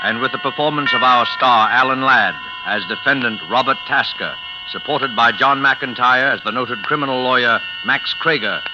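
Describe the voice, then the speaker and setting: A man introducing a 1940s radio mystery show in a deliberate, portentous manner. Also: mid-range voice. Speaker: mystery show host and narrator. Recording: dull vintage radio recording. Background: loud dramatic music.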